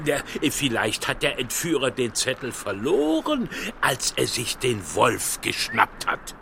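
A man playing a mirthful, teasing companion in a children's audio play, with a husky, creaky voice. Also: mid-range voice, big pitch swings, a little slow, sharp tone, slight background noise, exaggerated voice. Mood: pondering.

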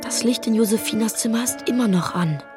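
A homesick young boy played by a female voice speaks in a high, plaintive register. He is stubborn and sincere, in a radio drama scene with music clearly underneath. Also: brisk, lightly acted. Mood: subdued. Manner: plaintive, pleading. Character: homesick, upset eight-year-old boy, stubborn and sincere. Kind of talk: German radio drama dialogue.

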